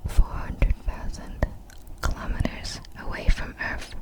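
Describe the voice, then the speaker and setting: A woman whispering ASMR narration of facts in a breathy, fully unvoiced whisper, with careful, deliberate phrasing. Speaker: ASMR creator.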